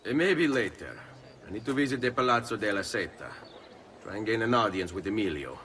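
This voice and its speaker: Animated young Italian adventurer. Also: male voice, lowish voice, fairly quick, a little hiss or hum. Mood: casual, curious. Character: confident, charming young Italian nobleman adventurer. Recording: music behind the voice.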